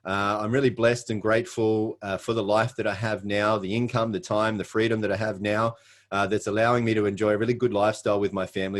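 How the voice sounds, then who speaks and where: A middle-aged Australian man giving an earnest, scripted sales presentation, with frequent "uh" fillers. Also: on the low side.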